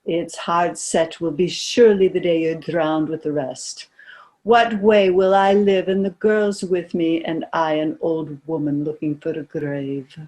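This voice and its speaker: A woman's voice: deep voice, steady tone, measured pace, a little mellow. A woman playing a fretful, grieving old peasant mother in a stage play, plaintive. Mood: despairing. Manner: lamenting, plaintive. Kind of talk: stage drama read as virtual play.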